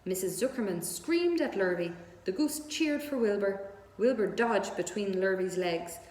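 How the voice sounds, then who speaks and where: Lady narrating a kids book, mid pitched, really echoey with long reverb. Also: expressive, measured pace, a little mellow. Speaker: story reader. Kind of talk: children's read-aloud narration.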